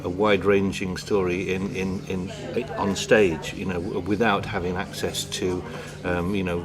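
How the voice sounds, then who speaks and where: A man talking in an interview, hesitant and often repeating his words as he explains. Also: sits low. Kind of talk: interview talk.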